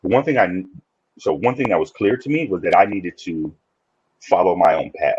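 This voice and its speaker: A man's voice: deep voice, brisk, darkish tone, husky, no echo, lo-fi sound. A man guesting on a podcast, talking reflectively in a casual interview and often restarting his sentences. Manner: reflective, speaks in restarts and repeats.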